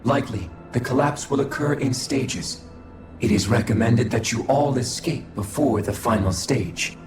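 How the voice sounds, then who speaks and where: A man's voice: medium pitch, close to an everyday voice. Male actor as alien observer, game cutscene, emotionless, precise formal diction, heavy echo, background music. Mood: impassive. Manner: formal, measured, emotionless, pedantic. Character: cold, detached alien observer of a fallen species. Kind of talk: scripted video game voice acting. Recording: very roomy echo, music behind.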